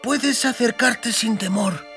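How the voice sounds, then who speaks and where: A high-voiced, exhausted teenage girl. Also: takes it slowly, fairly bright tone, faint music behind. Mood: weak, pleading. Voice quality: hoarse, breathy whisper at times. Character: exhausted, frightened teenage girl, stubborn yet tender. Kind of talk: dramatized audiobook acting.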